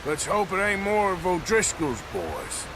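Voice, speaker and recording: An actor playing a gruff, dry-witted American outlaw cowboy in a video game, with a laconic, sardonic drawl. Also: male voice, high voice, very animated, measured pace, background noise. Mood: skeptical. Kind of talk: video game cutscene acting.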